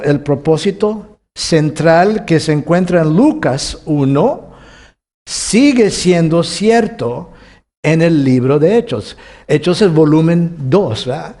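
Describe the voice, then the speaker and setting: A man teaching from the Bible to a congregation, with Costa Rican and Mexican Spanish and a halting manner full of restarts. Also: a little high. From United States, California. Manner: warm, halting with many restarts, chuckles often. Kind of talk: sermon teaching.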